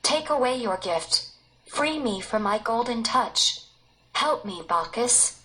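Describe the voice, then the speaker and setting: Storybook king, greedy and excitable, unhurried delivery performed by a female reader. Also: fairly high pitch, heavily acted, poor audio. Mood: desperate pleading.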